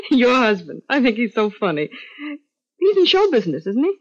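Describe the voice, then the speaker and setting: Animated, chatty neighbor. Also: female voice, fairly high pitch, moderate pace, full character voice. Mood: chatty, amused. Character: chatty, amused, admiring married neighbor woman. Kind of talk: 1940s radio acting. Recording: muffled 1940s radio broadcast.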